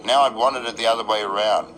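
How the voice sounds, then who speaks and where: Male Australian radio-play narrator, low register, wry beat-poet storyteller delivering scripted first-person prose. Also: steady tone, fast talker, fairly bright tone, a little hiss or hum, rough recording. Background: electric guitar music.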